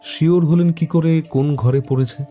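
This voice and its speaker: A man's voice: a little high, fairly even intonation, normal speed, mellow, soft background music. A man reading a scripted detective story as narrator, also speaking the detective's questions.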